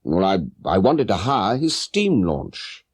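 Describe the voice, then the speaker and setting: Guy playing a shrewd british gentleman detective, urbane, clipped, swoopy pitch, dry close audiobook sound. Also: big pitch swings, slow, no room sound, exaggerated voice. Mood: courteous probing. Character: brisk, shrewd gentleman detective, coolly confident and courteous. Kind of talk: audiobook character voice.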